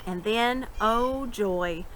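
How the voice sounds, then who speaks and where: A woman's voice: on the high side, drawn-out words. Woman reading a novel aloud, noisy background. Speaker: storybook reader. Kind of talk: expressive read-aloud narration of a novel. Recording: clear background noise.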